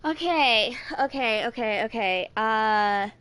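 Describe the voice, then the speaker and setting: A woman in her twenties streaming a video game, talking casually in a rambling, halting way that circles back on itself. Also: a little high, animated, fairly bright tone, clear, resonant tone, no room sound. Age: 20s. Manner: rambling, halting, repeats herself. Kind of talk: casual livestream chat with some reading aloud of game text.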